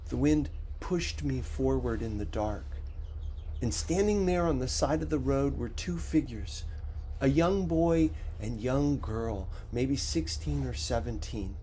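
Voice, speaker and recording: A man narrating a first-person story as an audiobook reader, with a measured, expressive dramatic delivery. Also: mid-range voice, slight background noise.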